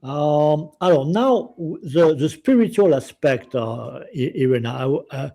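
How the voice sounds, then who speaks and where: Male interviewer, mellow tone, halting, restarts a lot. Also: on the high side, lively intonation, creaky. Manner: hesitant, halting, many restarts in his phrasing. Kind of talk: casual interview, partly reading aloud.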